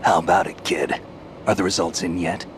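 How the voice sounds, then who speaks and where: A low-pitched man's voice acted for a video game over clear background noise. He is a seasoned soldier, cool and self-assured, with dry, laconic, unhurried delivery. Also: steady tone, lightly acted. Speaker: navy lieutenant.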